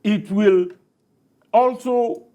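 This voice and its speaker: Nigerian guy, middle aged, voice a bit on the high side, emphatic panel chat. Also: extremely slow, mellow. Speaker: studio discussion panelist. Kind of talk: unscripted panel discussion.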